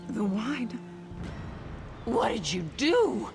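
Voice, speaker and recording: A woman's voice: on the high side, huge pitch swings, extremely slow, gravelly, over music, heavily acted. A woman voicing a haughty, worldly female governor in a video game, with a pompous, condescending manner. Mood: alarmed, accusing. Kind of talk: dramatic video game dialogue.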